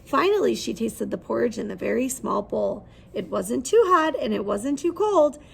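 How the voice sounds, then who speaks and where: High-pitched, creaky woman reading a children's story. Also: expressive, normal speed. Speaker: read-aloud storyteller. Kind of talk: expressive children's story reading with questions to listeners.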